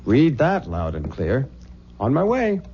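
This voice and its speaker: A man's voice: mid-range voice, huge pitch swings, measured pace, dark tone, muffled recording. Casting: breezy young newlywed man, swooping mid-range voice, wry radio-play delivery, noisy vintage recording. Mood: playful. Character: easygoing, joking young bridegroom. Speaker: radio drama actor. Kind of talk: old-time radio drama acting.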